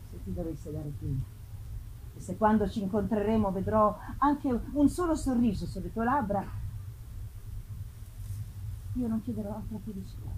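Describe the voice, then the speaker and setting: A woman's voice: fairly high pitch, a little mellow, a little hiss or hum, lightly acted, poor audio. A woman voicing a devoted young woman's tender love letter in a dramatic reading of a gothic novel.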